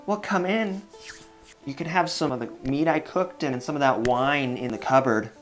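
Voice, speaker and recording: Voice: mid-range voice, moderate pace, soft background music, lightly acted. A storyteller voicing a sly werewolf who pretends to be a coaxing old grandmother. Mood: coaxing, welcoming.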